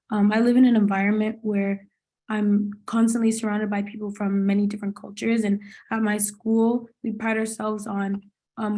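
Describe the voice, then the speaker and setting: A young woman answers thoughtfully as a student on a panel. Her voice is mellow and warm in tone, with little rise and fall. She talks in her own words. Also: mid-range voice.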